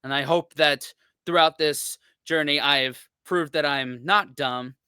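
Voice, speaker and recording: Us guy, bright clean voice, chill rambly reaction talk, lots of likes and uhs. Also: a little high, takes it slowly, smooth, dry recording, clean studio sound. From United States. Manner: rambling, filler-heavy, laid-back. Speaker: reaction channel host. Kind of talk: casual reaction commentary.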